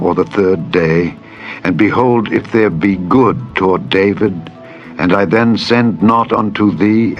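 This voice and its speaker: A man voicing a loyal, solemn prince and devoted friend in a dramatized scripture reading, with measured archaic oaths. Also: on the low side, close to an everyday voice. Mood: solemn vow. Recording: dull lo-fi audio with music. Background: background music.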